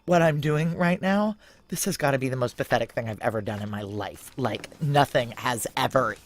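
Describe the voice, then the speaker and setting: A woman's voice: rumbling low, moderate pace. A tough, guarded woman veteran in a noir audio drama. She is blunt and sardonic, swears freely and mocks herself, slipping between intimate dialogue and first-person narration. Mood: confessional, self-mocking.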